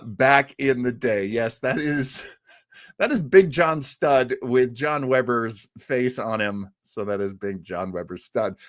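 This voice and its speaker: A man's voice: medium pitch, a bit bright, no echo. Casual man hosting a live stream, dry close sound. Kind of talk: casual chat with live viewers.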